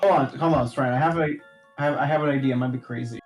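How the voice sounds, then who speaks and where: A man's voice: medium pitch, very fast, faint music behind. A man giving casual step-by-step game directions to a friend, hesitant and thinking aloud. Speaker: co-player coaching puzzle moves.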